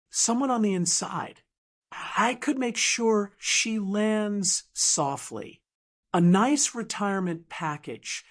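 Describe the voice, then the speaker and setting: A woman acts out a polished, manipulative businesswoman in an audiobook drama. She speaks smoothly and slowly, with sugary condescension and lively swings of pitch.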